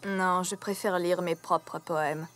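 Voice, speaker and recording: Stone-obsessed cartoon pony, female, lowish voice, monotone, literal, faint music behind. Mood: flat, impassive. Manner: deadpan, monotone, unhurried. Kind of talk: French cartoon dub.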